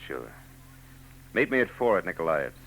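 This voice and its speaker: Hardboiled private eye, real deep voice, dry wisecracking, old radio show. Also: male voice, sing-song, moderate pace, a bit bright, a little hiss or hum, full character voice, low-quality recording. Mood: gentle. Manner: laconic, wry hardboiled delivery. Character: world-weary male private eye, dry wit. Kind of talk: 1940s radio acting.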